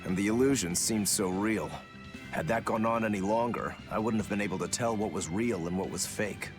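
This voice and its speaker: An actor playing a plain-spoken, puzzled fighter companion in a video game, with music clearly underneath. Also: male voice, fairly low pitch, fairly quick, close to an everyday voice. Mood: curious. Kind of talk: English video game dub acting.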